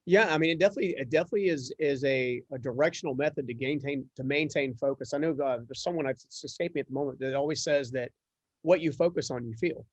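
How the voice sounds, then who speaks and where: A man's voice: on the high side, quick pace, breathy edge, dry recording. A man hosting a conversational podcast interview, talking in an earnest, wordy and reflective way. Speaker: interview host.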